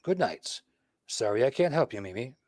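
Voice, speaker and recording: A man's voice: medium pitch, sing-song, normal speed, heavy background noise, lightly acted. A man playing a dry, wisecracking, reluctant detective husband in a 1930s-style radio drama, wry and teasing. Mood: dry, firm.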